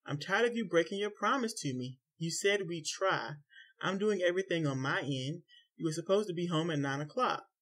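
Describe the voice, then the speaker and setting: A frustrated husband, stern and hurt, speaking low and close. A man narrating the story gives him his own voice, kept tight and restrained. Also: moderate pace, fairly bright tone, slightly rough. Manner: low and tight, dropping to near whisper.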